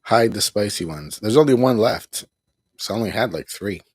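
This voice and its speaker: A man's voice: fairly low pitch, expressive, normal speed, slightly rough. A middle-aged man hosting a casual home livestream, rambling and repeating himself with small chuckles. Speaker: livestream host. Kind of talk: casual live broadcast chat.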